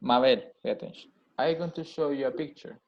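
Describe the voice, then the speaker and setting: Examiner voice, mid-pitched, brief questions, muffled lo-fi recording. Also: brisk, a little mellow. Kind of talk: conducting speaking exam.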